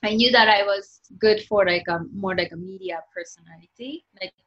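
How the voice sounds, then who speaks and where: A Japanese woman whose first language is Japanese, speaking English with a Japanese accent as a guest author, chatty and lively and full of "like" fillers. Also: mid-range voice, moderate pace, dull audio. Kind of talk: casual interview talk.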